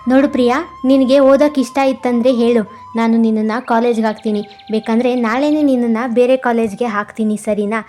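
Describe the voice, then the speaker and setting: A woman's voice: on the high side, fairly even intonation, brisk, warm tone, a little hiss or hum, close to an everyday voice. A woman voicing a caring, reasonable young husband in a cartoon moral story, speaking in an earnest, reassuring way. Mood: affectionate, reassuring.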